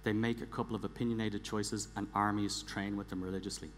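Low-voiced man presenting in an echoey hall. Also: little pitch movement, quick pace. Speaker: conference speaker, engineering director. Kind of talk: prepared conference presentation on stage. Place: large theatre stage, indoors. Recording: echoey large hall, clear background hum.

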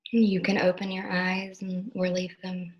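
A woman meditation teacher leading a guided meditation, speaking softly and gently in unhurried phrases with long pauses. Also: fairly low pitch, completely flat delivery, muffled recording. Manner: gentle, instructional, soothing.

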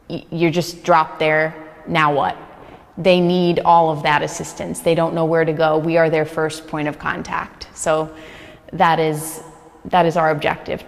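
An earnest woman in her thirties, whose first language is Hungarian, talks in a chatty, easy way, with plenty of ums. Her voice sits a little low. She wears a lapel mic in a large gallery room with a long reverb. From United States. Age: 30s. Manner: earnest, chatty, quick laughs, many fillers. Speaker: refugee services manager. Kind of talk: interview answers. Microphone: small lapel mic.

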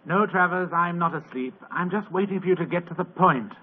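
An actor playing a fat, lazy, brilliant middle-aged British official with a languid, dryly superior manner, in 1940s radio drama style. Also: male voice, sits high, fairly quick, husky. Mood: weary, dry. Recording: muffled vintage radio broadcast.

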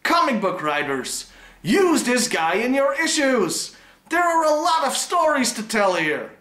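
Male on-camera review host, wry and opinionated, animated intonation, roomy camera sound. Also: very high voice, measured pace. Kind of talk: scripted presenting to camera. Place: living room, on a sofa.

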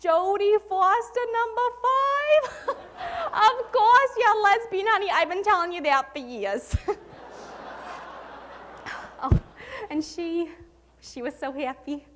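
A woman's voice: extremely high pitch, takes it slowly, long pauses, background noise. A woman performing a dramatic monologue as a nervous, gushing, proud middle-aged mother, theatrical and effusive. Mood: exasperated, gushing. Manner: theatrical, effusive, self-congratulating, stammering. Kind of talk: dramatic monologue in a speech competition.

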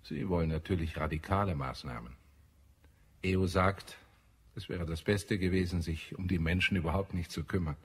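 Sehr tiefer, ernster Marsianer. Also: male voice, low voice, moderate pace, breathy edge. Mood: grave, reporting. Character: earnest, idealistic Martian man, visionary and grave. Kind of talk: German radio play acting.

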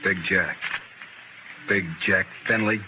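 An actor playing a coolly polite, ailing and dangerous gentleman gunfighter, dry and understated, in a radio western. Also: low-pitched, level tone, slow pace. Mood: relaxed, wry. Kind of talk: 1950s radio western acting. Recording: tinny, hissy old radio recording.

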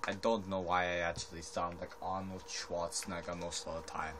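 A young man with a deep voice, teasing and rambling as he watches a friend play. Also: little pitch movement, moderate pace. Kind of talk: casual banter. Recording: home video with noticeable background noise.

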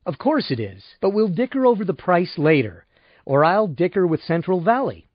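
A man's voice: sits high, very animated, normal speed, dry recording, muffled recording, pitched above the performer's own voice. A man voicing a stubborn, impatient male customer with a curt, sardonic manner in an audiobook.

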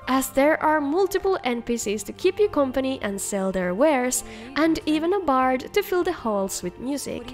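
Woman video host, high voice, scripted showcase narration, soft music behind her. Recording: faint music under the voice.

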